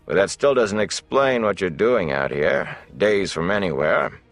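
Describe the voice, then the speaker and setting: A gruff wilderness loner as a male audiobook reader acts him out, very low in pitch with a creaky, gravelly edge. He speaks in a plain, folksy, deliberate way, with wry weight and lively rises and falls. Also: faint music behind. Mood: blunt, suspicious. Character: gruff, wry older wilderness man who runs with wolves.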